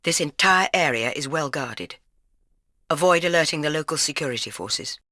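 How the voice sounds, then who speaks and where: An actress playing a stern, commanding older British intelligence chief in a video game, speaking in a formal, clipped, authoritative way. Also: female voice, low voice, lively intonation, moderate pace, sharp tone, slight background noise, exaggerated voice. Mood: briefing, businesslike. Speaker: intelligence chief giving mission orders. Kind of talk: video game mission briefing lines.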